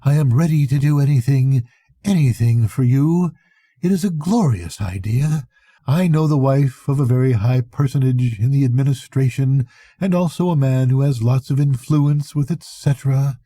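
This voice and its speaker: An older man reading a storybook, voicing a dear, enthusiastic aunt in a gushing, emphatic way with a husky voice. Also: mid-range voice, normal speed, mellow, natural voice. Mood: eager, gushing.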